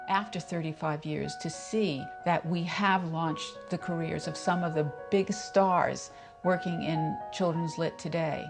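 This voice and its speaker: A woman with a gravelly, creaky edge to a fairly low voice. She leads a foundation and talks in an earnest, emphatic and deliberate way during a documentary interview. Music plays clearly behind her. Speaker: foundation leader. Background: music underneath.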